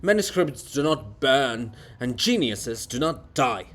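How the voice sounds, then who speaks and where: A man's voice: medium pitch, big pitch swings, takes it slowly, fairly bright tone, pitched below the performer's own voice, heavily acted. A man voicing a living monument of a haughty, paranoid genius in a theatrical farce reading, declaiming and ranting. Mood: outraged, grandiose.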